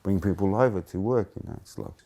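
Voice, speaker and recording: A Greek-born man in his fifties with a Greek accent, reminiscing in a casual interview with halting restarts. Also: low-pitched, warm tone. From Greece. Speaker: interviewee, blues musician.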